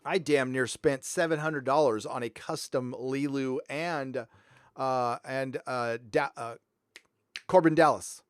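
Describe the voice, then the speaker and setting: A middle-aged man from Southern California hosting a livestream, chatting casually and reading donations, loud and animated, swinging from mumbles to shouts. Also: medium pitch, takes it slowly, clean tone, no room sound, clean studio sound. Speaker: livestream host.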